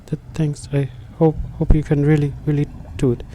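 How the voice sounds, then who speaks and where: A man's voice: a little high, level tone, dark tone, a little hiss or hum, natural voice. Man voicing a faint, frail dying woman. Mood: pained, pleading. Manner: halting, broken phrases.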